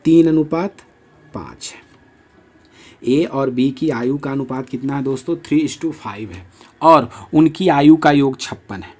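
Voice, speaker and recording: A man's voice: medium pitch, takes it slowly, warm tone. A male maths teacher leading an exam-prep lesson. He works through each problem aloud, asking the class questions and then answering them himself, and keeps turning to the viewers in a friendly way. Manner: tags lines with a friendly address to viewers.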